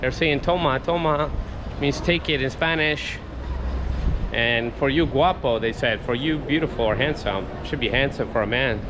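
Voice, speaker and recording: Chatty man vlogging over loud traffic. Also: mid-range voice, moderate pace. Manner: chatty, exclaims at sights, keeps saying "guys". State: recovering from a cold. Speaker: travel vlogger. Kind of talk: walking travel vlog commentary. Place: busy city street outdoors. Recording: loud street noise. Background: loud traffic and street noise.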